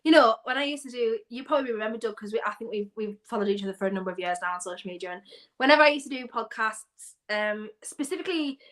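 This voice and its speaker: A young woman from North East England chatting casually about football as a guest, animated and quick to laugh. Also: a little high. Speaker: guest fan.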